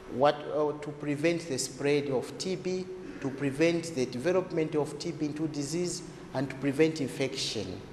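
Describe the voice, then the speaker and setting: In an echoey room with faint noise, a man explains health matters. His voice sits a little high for a man. He teaches by repeating points and posing rhetorical questions. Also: darkish tone. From Zambia. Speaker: health expert. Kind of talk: explanatory talk to camera.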